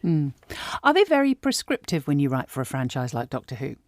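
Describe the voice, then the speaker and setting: A woman's voice: very deep voice, big pitch swings, fairly quick. A woman hosting a podcast interview in a conversational style, putting questions to a guest and responding to the answers.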